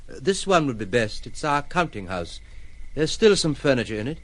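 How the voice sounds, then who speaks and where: An actor playing a courteous, reserved gentleman with formal phrasing in an audio drama. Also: mid-range voice, very animated. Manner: polite, formal, measured phrasing. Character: courteous, reserved gentleman living on a remote island.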